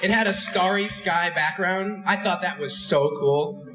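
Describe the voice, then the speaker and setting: A man's voice: sits high, unhurried, very noisy. A young man telling a self-mocking story on stage to a live crowd, holding a handheld mic. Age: young adult. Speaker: company head and co-host. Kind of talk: stage anecdote to a live audience. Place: large dark stage in a hall. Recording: echoey hall.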